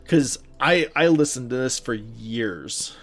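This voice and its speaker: A man's voice: mid-range voice, expressive, slow pace. A man co-hosting a podcast, chatting casually about films and games in an enthusiastic, emphatic way. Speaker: podcast co-host.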